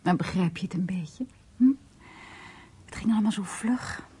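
Very breathy, tender woman. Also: mid-range voice, moderate pace, warm tone. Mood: unsure, pleading. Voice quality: breathy, husky. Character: soft, admiring woman companion, tender and slightly unsure. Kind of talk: intimate radio drama acting.

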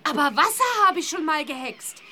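A woman's voice: sits high, sing-song, moderate pace, pushed and bright, slight background noise, heavily acted. A woman playing a cheeky, chirpy young witch girl in a children's audio drama. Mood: confident.

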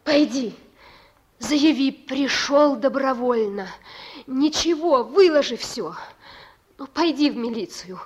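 A high-voiced young woman in love in a Russian radio play. She is devoted and stubborn, and speaks in plain colloquial phrases that both plead and insist. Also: expressive, slow pace. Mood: firm, coaxing. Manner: pleading yet resolute, colloquial. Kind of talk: Russian radio drama acting.

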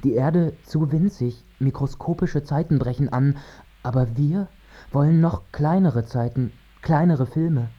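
A man's voice: on the high side, measured pace, highs cut off. An actor playing a man who muses like an astronaut on the moon, in a literary, declamatory radio monologue. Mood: incantatory, solemn. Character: male narrator musing like an astronaut on the moon.

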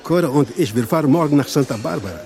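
An actor playing a boisterous, jovial, pleasure-loving husband in a radio drama, with a teasing, expansive manner. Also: male voice, fairly high pitch, expressive, fast talker, a little mellow. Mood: jovial, coaxing.

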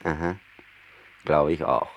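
An actor playing a droll, chatty onlooker in a radio play, talking in a wry, conversational way. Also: male voice, rumbling low, fairly even intonation, slow pace, heavily acted. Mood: wry, speculating. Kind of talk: German radio play dialogue. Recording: faint background noise and ambience.

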